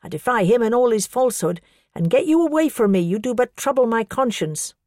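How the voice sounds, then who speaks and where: A woman's voice: moderate pace, a little mellow, dry recording, clean studio sound, natural voice. A woman voicing a defiant, pious woman who scolds her accusers with rising passion. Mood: fervent. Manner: impassioned, scolding, rising pitch.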